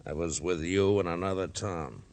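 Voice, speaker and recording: Gravelly, very deep-voiced American thug. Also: male voice, normal speed, darkish tone. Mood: cold, threatening. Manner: curt, sardonic, bossy. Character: menacing gruff thug with a gun, contemptuous and terse. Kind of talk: 1950s American radio drama acting.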